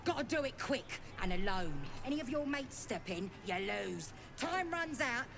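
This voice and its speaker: A woman's voice: sits high, animated, normal speed, music in the background. An actress playing a cocky female goblin in a video game, with a working-class British accent and a sly, mocking, colloquial delivery. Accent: British, working-class English. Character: cocky, taunting female goblin running a chicken-chasing game. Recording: clear background noise, game ambience.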